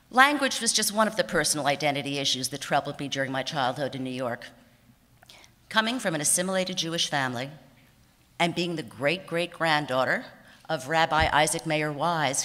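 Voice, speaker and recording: A woman in her sixties reads her acceptance speech at a podium microphone, her voice low yet bright and forward. She is warm and self-deprecating, with light laughs and small restarts. The sound rings with the long reverb of a banquet hall. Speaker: award honoree giving an acceptance speech. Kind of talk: prepared speech read from notes. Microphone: podium microphone on a stand.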